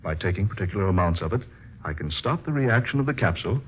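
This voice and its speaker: Radio drama scientist: low voice, grave, deliberate, resolute; muffled vintage sound. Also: male voice, animated, slight background noise. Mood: precise, assured. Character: determined male scientist shrinking himself with his potion. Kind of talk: old-time radio drama acting. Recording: dull lo-fi old radio recording, music.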